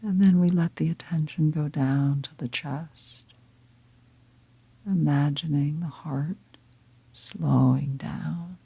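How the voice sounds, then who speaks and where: Woman, meditation guide, very slow pace, soft half-whisper, creaky low voice, calm, close dry sound. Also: no echo. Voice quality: breathy, half-whispered, husky. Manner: calm, gentle, unhurried with long pauses.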